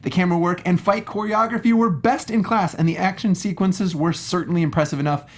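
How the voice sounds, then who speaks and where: A man's voice: sits high, steady tone, brisk. A man co-hosting a casual review chat, slangy and emphatic, often repeating his phrases. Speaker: co-host of a movie review show.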